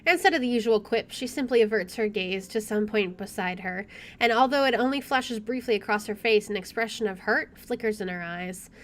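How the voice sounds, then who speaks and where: A woman's voice: medium pitch, brisk, fairly bright tone. A woman let's player reading a visual novel aloud expressively with chatty asides, game music playing behind her.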